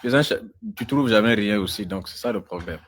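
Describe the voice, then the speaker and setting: A man's voice: on the low side, fairly quick. A man in a live online debate who mocks his opponent and keeps pressing him with questions. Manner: teasing, mocking, insistent questioning.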